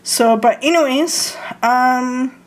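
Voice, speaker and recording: A young woman from Canada chatting casually about her fragrance purchases, hoarse and losing her voice, hesitant with many ums and frequent throat clearing. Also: sits high, slow pace, rough recording. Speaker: vlogger showing fragrance purchases. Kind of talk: casual haul chat.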